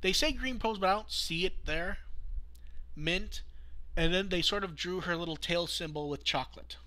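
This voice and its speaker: A man with a fairly high, very bright and forward voice hosts a podcast. He chats casually as he goes through news items. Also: moderate pace. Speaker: podcast host.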